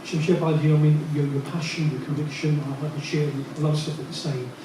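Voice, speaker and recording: Brief: assertive male journalist in the audience, slightly high voice, distant in a reverberant hall with background hiss. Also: flat delivery, a little fast. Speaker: journalist and broadcaster asking from the audience. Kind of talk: audience question at a panel forum. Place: large echoey hall. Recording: echoey hall, far from mic, noise.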